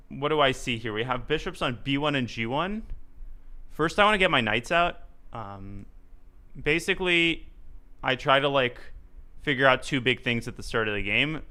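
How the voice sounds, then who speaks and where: Bright-voiced young man thinking aloud. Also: medium pitch. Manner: thinks aloud, jokey asides. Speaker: chess streamer. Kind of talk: live commentary while playing.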